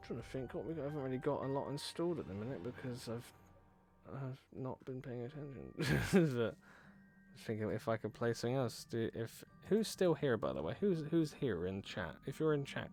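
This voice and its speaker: A man's voice: mid-range voice, expressive, moderate pace, darkish tone, soft background music. A young man streaming a horror game, reading its text aloud and bantering with chat, swearing and laughing as he goes, on a headset mic in a small room.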